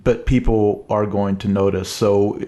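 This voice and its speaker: Male author guest, low voice, dry mock-boastful delivery. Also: level tone, measured pace, dark tone. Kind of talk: casual podcast chat.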